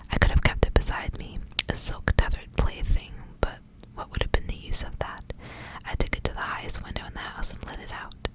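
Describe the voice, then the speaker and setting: Female ASMR reader for literary fiction. Whispers throughout, breathy and gentle, narrating in short phrases. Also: a little fast, hardly any pauses, rough recording. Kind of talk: ASMR whispered storybook reading.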